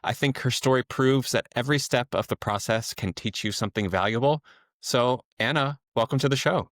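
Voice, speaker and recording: A man's voice: mid-range voice, fairly quick, fairly bright tone, dry recording, clean studio sound. A man hosting an interview podcast, introducing his guest and asking questions. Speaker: podcast host.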